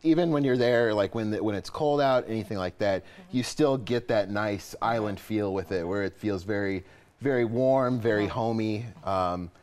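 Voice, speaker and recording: Man guest reviewer, low voice, laid-back. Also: moderate pace, a little mellow, clean tone. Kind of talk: casual chat.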